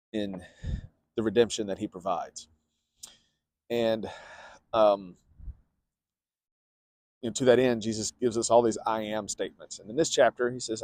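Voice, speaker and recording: A man explaining a bible chapter in a relaxed, conversational way, with fillers and stress on key words. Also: on the low side, dry recording. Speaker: parish teacher. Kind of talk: casual bible teaching.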